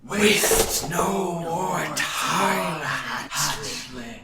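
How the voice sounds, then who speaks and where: A woman voicing a colossal, ancient insect mother goddess, imperious and scornful, in a slow commanding manner for a tabletop audio drama. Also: on the low side. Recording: echoing, distant, crowd-like noise behind.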